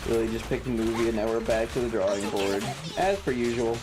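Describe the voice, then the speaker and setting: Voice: medium pitch, steady tone, fairly quick. A streamer chatting casually while playing a game, with a sarcastic, profane manner. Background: music.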